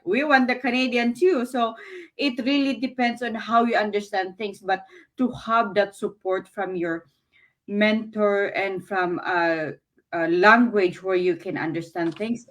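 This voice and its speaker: Woman, from the Philippines, English not her first language, middle pitch, earnest, hesitant, lots of fillers. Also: a bit bright. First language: Filipino language. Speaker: business owner sharing experience. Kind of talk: casual testimonial talk. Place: Canada.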